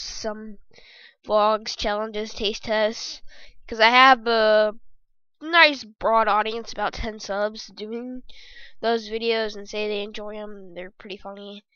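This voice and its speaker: Young host, squeaky and bright, chatty and self-correcting; dry close bedroom sound, slightly dull audio. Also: female voice, smooth, no room sound. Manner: rambling, jokey, self-correcting. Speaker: podcast host. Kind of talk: casual solo gaming podcast.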